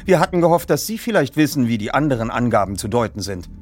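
A man's voice: mid-range voice, sing-song, fast talker. A man voicing a polite, self-assured teenage boy detective in an audiobook, speaking in formal, precise wording. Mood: earnest.

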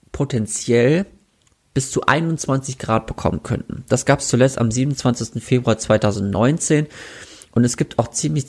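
A man with a cold, his voice congested and sniffling, giving a relaxed, chatty weekend weather forecast. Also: mid-range voice. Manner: relaxed, chatty, with filler hesitations. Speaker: weather presenter. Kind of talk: weekly weather forecast, casual address.

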